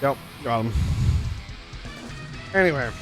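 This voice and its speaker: A man with a middle-pitched voice commentates on a game in a casual, conversational way. He thinks out loud in loose, wandering asides. Game music plays underneath him. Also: animated, slow. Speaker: video game let's-play commentator. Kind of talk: casual chat over gameplay. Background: video game music.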